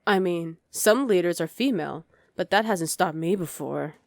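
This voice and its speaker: A woman's voice: lowish voice, moderate pace, creaky, dry recording. A woman voicing a dominant, haughty evil queen in an ASMR roleplay, imperious, sly and condescending. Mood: scornful, exasperated.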